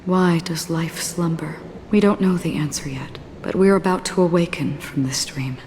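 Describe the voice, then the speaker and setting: A woman voicing a low, solemn soul-guiding swordswoman. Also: a little hiss or hum, lightly acted. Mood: gentle. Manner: measured, solemn, soft-spoken. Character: calm solemn female swordswoman who guides souls. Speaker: game character. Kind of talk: video game voice acting. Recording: game clip with loud music. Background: game score music.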